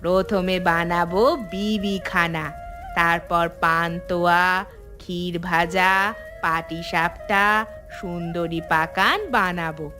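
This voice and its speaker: A woman voicing a kindly old village grandmother in a cartoon, affectionate and unhurried, lingering over her words. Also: lowish voice, little pitch movement. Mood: fond, reassuring. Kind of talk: Bengali cartoon voice acting. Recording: music behind the voice. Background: background music.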